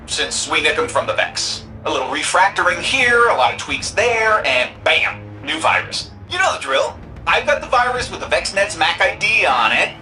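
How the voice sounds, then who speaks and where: An actor playing an excitable, cheeky young tech-whiz sidekick in a video game, with a slangy, playful manner. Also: medium pitch, poor audio. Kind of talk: video game character dialogue.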